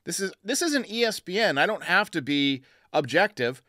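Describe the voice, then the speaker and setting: A man's voice: high-pitched, lively intonation, normal speed, pushed and bright, no room sound, studio quality. A man hosting a fan call-in sports show, giving blunt, emphatic opinions in a casual way.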